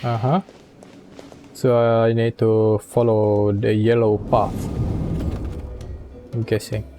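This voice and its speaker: A woman's voice: extremely low pitch, level tone, slow, over music. A woman casually reacting to a game as a streamer, muttering laid-back asides. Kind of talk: casual gameplay reaction commentary.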